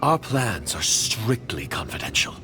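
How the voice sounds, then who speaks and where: A cold, scheming agent from a video game, wary and formal. The low voice is condescending and moves expressively in pitch, over clear background music. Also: male voice, big pitch swings, unhurried, full character voice.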